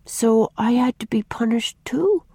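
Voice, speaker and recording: A woman's voice: medium pitch, sing-song, takes it slowly, vocal fry, a little mellow, breathy edge, dry recording, voice pushed up, heavily acted. Woman doing a weak, wistful little girl. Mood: weakly insistent.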